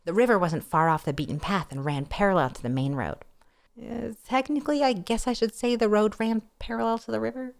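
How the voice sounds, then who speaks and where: A woman narrating a first-person story aloud in an expressive, playful style, with wry casual asides. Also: medium pitch, fairly quick, gravelly. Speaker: streamer reading a novel aloud.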